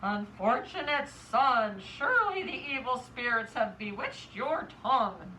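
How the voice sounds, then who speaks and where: A woman's voice: on the high side, expressive, unhurried, distant mic, very noisy, voice pushed down. A woman voicing a stern, imperious storybook mother who speaks formally and draws out her words. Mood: scolding. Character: stern, grand, impatient storybook mother of sons.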